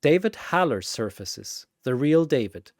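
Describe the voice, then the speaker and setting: Slow, dramatic male storyteller. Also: mid-range voice, sing-song, no echo, studio quality. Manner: theatrical, short suspenseful phrases. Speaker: storyteller, narrator. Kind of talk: dramatic scripted action story narration.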